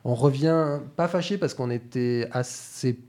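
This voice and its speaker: A male interview guest speaking in a measured, explanatory way. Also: medium pitch, darkish tone.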